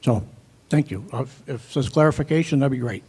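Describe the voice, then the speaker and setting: A man's voice: mid-range voice, brisk, mellow, husky. A man at a town meeting who questions a local rule politely but skeptically. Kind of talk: public comment.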